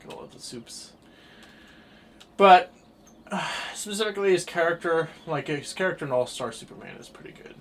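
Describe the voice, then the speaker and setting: A twenty-year-old man streaming a game and chatting casually, rambling through his thoughts with sudden bursts of excitement. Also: a little high, moderate pace, pauses often. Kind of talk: casual chat while livestreaming a game.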